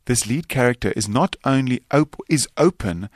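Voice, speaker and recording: A man's voice: mid-range voice, lively intonation, normal speed, dry recording. A South African man with Afrikaans as his first language speaks in a radio interview, in a mid-range voice. He talks earnestly and rambles, stacking restarts and repeated words. The studio sound is dry and close. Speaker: filmmaker and actor guest.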